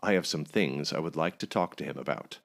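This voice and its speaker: A man voicing a jovial king in a folk tale, giving brisk regal orders with a playful, teasing edge. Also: a bass voice, vocal fry. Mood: commanding. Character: jovial, commanding male king, teasing and sly. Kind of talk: folk tale storybook reading.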